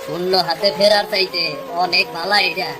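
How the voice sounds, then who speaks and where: A man playing a patient, hopeful older brother, a poor fisherman, in a cartoon story, speaking rural Bengali dialect in a calm, advising way. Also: high-pitched, moderate pace, noisy background, natural voice. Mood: consoling.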